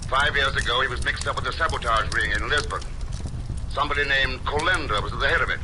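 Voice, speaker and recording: A man's voice: mid-range voice, quick pace, close to an everyday voice. Businesslike secret agent , male actor, American accent, old radio drama with rain noise behind. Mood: cool, businesslike. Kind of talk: 1940s radio acting. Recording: noisy vintage radio, rain and fireplace behind.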